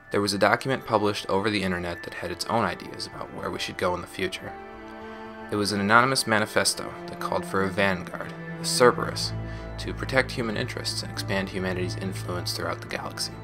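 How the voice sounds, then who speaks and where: A low, measured voice from a man acting as a former gang member looking back. The delivery is reflective and explanatory, like a scripted sci-fi audio log, with music playing clearly underneath. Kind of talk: scripted in-character audio diary narration.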